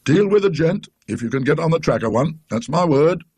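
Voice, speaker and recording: A man's voice: a little high, expressive, brisk, darkish tone, breathy edge, no room sound, voice pushed up. A man voicing a blunt, bossy working-class British tram driver in a ghost story, with brusque colloquial speech. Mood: self-satisfied.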